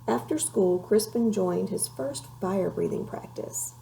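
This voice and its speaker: A woman reading a children's storybook aloud in an expressive, measured way, pausing for dramatic effect. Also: medium pitch, gravelly, slight background noise, low-quality recording. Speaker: storybook reader.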